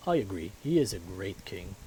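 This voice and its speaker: A man's voice: sits low, sing-song, normal speed, warm tone, slight background noise, poor audio. A man voicing a loyal, friendly wolfman knight in a visual novel, casual and plain-spoken. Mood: earnest, annoyed.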